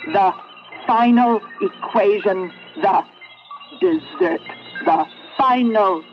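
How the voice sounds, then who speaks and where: A menacing, coaxing female machine mind speaks in an old radio play. Every phrase is drawn out extremely slowly at a medium pitch. The vintage broadcast sound is dull, with music behind it. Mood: taunting, coaxing. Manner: slow, drawn-out, deliberate phrasing. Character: female sentient computer, taunting, coaxing, menacing. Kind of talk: 1970s radio drama acting.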